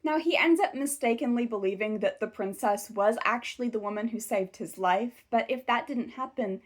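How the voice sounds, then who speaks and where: Woman, scripted commentary host, slightly high voice, measured and analytical. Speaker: video essay host.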